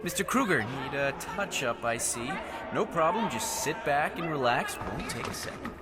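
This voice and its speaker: Actor as eager high-voiced male make-up artist. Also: animated, normal speed, natural voice. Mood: eager, encouraging. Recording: loud music behind the voice.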